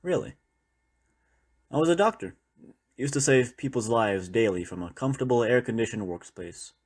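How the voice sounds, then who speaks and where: A man's voice: mid-range voice, expressive, normal speed, darkish tone, breathy edge. A man playing a weary former doctor surviving a zombie apocalypse, talking in a reflective, conversational way to a trusted partner in an intimate audio roleplay. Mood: wistful, reflective. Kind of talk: intimate audio roleplay, one-sided dialogue.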